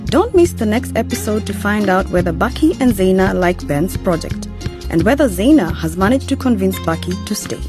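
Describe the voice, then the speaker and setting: A woman's voice: mid-range voice, animated, moderate pace. A woman presenting a scripted radio programme outro. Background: music.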